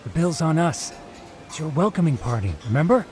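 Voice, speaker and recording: Courteous, animated male detective boss. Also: fairly high pitch, brisk, a little hiss or hum. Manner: polite, formal wording. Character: courteous, earnest male detective agency leader. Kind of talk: video game cutscene voice acting.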